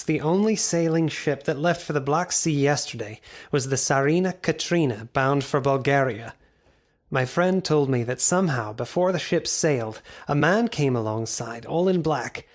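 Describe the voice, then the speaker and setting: An actor playing a sober diarist, giving measured, serious narration in an audio drama. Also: male voice, on the high side, natural voice. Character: sober male diarist narrating a gothic pursuit.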